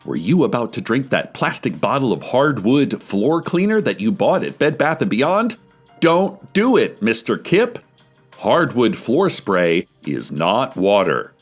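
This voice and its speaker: A fervent, self-important PSA announcer, played by a man, with pitch that swings widely and a slightly husky voice. Soft music runs beneath the radio-style spot. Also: sing-song, a little slow. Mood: pompous, preachy. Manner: pompous, fervent, rhetorical. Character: pompous male government public-service announcer.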